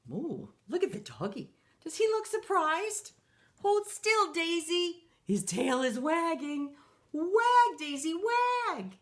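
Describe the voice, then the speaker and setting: Woman, middle-aged, children's storytime reader; slightly high, unhurried, playful sing-song. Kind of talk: reading a picture book aloud to children.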